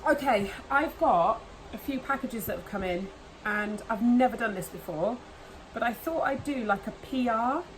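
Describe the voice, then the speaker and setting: A woman's voice: a little high, lively intonation, normal speed, slight background noise, rough recording. A woman with hay fever, chatting casually and gushing as she unboxes nail products at home. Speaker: nail vlogger. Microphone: camera mic, voice off camera.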